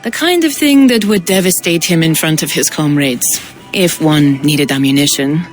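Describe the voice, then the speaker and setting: A woman's voice: low voice, moderate pace, soft background music, close to an everyday voice. A woman playing a gossipy, discreet informant with a sly, dry wit in a video game. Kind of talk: dramatic video game cutscene acting.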